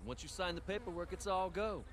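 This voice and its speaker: A man's voice: high voice, a little fast, slight background noise, close to an everyday voice. An actor playing a smarmy American businessman with a cultish streak, selling big promises in a video game. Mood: smooth, hyping. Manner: smarmy, salesman-like. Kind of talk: video game cutscene acting.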